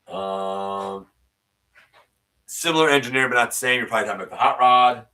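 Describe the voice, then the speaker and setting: A man hosting a live stream, reading viewer comments and answering them in casual, opinionated chat, his voice sometimes a little raspy. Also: brisk, pushed and bright, far from the mic. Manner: opinionated, ranting with rising pitch when worked up.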